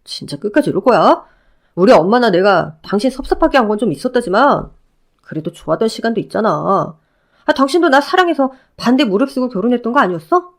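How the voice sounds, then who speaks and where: A woman's voice: a little high, very animated, brisk, pitched above the performer's own voice. A woman voicing a pleading, self-pitying middle-aged ex-husband who begs and whines. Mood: begging. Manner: wheedling, whiny begging.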